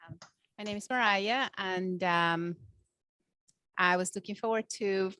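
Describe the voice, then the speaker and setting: A woman who is not a native English speaker, introducing herself in a halting way with fillers. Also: medium pitch, pushed and bright, smooth, dry recording. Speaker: visiting guest. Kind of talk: brief self-introduction.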